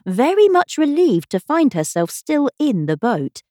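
Female storybook narrator, higher-pitched and animated, with a dry sound. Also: unhurried, no room sound. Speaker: audiobook reader.

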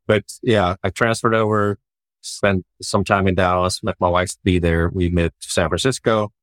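A man in his forties with a very low voice, talking through his career at an easy, thoughtful pace. The sound is dry and close, with no room echo. He leans on "you know" as he thinks. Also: moderate pace. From Sweden. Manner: relaxed and reflective, with frequent "you know" fillers and light laughs. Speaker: startup founder and CEO. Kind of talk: casual interview answers.

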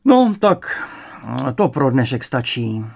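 A man's voice: mid-range voice, expressive, a little mellow, close to an everyday voice. A furious communist secret police interrogator in an audiobook drama, acted out by a male reader. He bullies and harangues in slogans, barking out each accusation with fanatical contempt. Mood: threatening.

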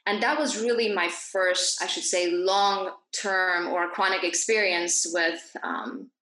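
Bright-voiced woman guest in an echoey room. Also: fairly low pitch, animated. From born in Iran, grew up in Dubai. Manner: halting, frequent pauses and self-corrections. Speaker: podcast guest, naturopathic doctor. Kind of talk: reflective interview talk.

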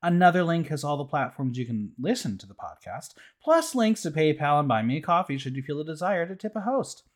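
A man's voice: on the high side, a little fast. A man hosting a podcast, chatting casually and joking with his guest. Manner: playful, jokey, animated. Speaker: podcast host. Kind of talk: casual interview chat.

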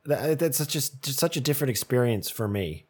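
A man hosting an interview, asking casual questions with halting restarts. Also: medium pitch, lively intonation, darkish tone, slightly rough. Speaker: interviewer, host.

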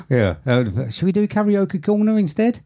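A man's voice: high voice, sing-song, measured pace, dark tone. A young man co-hosting a podcast in casual chat, joking and laughing often. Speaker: podcast co-host.

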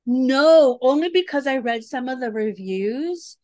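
A woman's voice: sits high, animated, a little slow, a little mellow, dry recording. A woman in her forties co-hosting a podcast, chatting casually and often searching for her words. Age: 40s. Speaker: podcast co-host. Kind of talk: casual chat.

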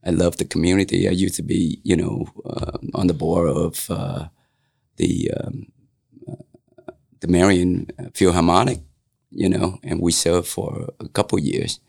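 Gravelly older man, Vietnamese accent. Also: deep voice, a little mellow. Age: 60s. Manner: halting, many fillers and restarts. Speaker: interviewee, local business owner. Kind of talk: casual oral-history interview.

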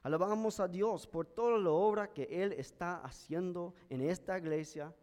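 A male pastor whose first language is English, preaching a sermon in a careful, measured way with an American accent. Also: high voice, darkish tone. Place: church sanctuary. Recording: church hall with long reverb.

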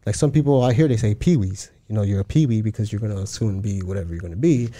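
A man's voice: lowish voice, quick pace, warm tone. A man from Chicago, a guest talking casually in a streetwise way, swearing heavily and using lots of slang. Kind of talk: casual interview talk.

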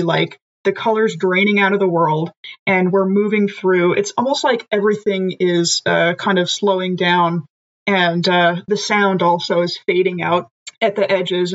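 A woman's voice: on the low side, lo-fi sound. A woman playing a tabletop game, chatting and thinking aloud as she describes her character's actions. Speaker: player. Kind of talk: casual tabletop play and in-character narration.

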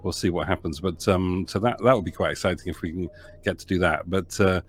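A man's voice: deep voice, fairly quick. A man who is a producer and writer, guesting on a podcast over a video call, joking and rambling with frequent laughs. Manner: jokey, rambling, laughs a lot. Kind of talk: casual interview chat.

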